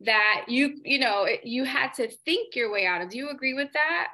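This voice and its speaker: Bright-voiced, gushing female podcast host. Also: high-pitched, expressive, normal speed. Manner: warmly enthusiastic, gushing reactions. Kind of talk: casual interview chat.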